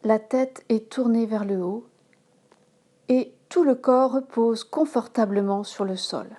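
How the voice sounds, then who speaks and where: A woman yoga instructor leading a guided relaxation in a calm, measured manner, giving instructions in short phrases. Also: on the high side. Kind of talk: slow guided relaxation meditation.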